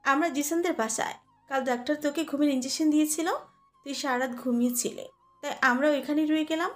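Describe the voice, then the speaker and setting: A woman's voice: sits high, steady tone, a little fast, close to an everyday voice. A woman playing an anxious, tender middle-aged mother in an audio story, speaking in a worried, fussing way. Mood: tender, explaining. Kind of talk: amateur audio story acting. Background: background music.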